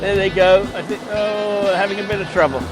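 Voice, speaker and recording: A high-pitched Welsh man talks eagerly into his handheld phone on a surf beach. He calls out to viewers by name as he narrates the race, and music plays clearly under his voice. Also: fairly quick, rough recording. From Wales. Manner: chatty, excitable, addresses viewers by name. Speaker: livestream host. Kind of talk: live commentary to viewers. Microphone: phone mic held in hand.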